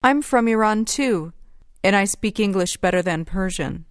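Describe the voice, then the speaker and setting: A woman's voice: medium pitch, lively intonation, normal speed, no echo, studio quality, close to an everyday voice. A woman reading a friendly textbook speaker. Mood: plain friendly. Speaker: dialogue reader in an English lesson. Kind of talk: slow scripted textbook dialogue.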